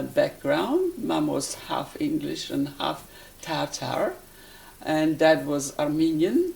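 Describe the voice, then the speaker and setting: An elderly woman from Poland with a Polish accent, recalling her life in a halting, deliberate way as she is interviewed. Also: low voice, gravelly, darkish tone. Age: 80s or older. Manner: halting, deliberate, searching for words. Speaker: interviewee, life-story witness. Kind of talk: personal reminiscence in an interview.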